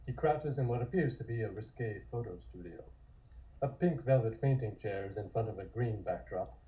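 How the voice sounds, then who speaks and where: Male narrator, mid-pitched and dark-toned, scripted comic descriptions, somewhat muffled recording.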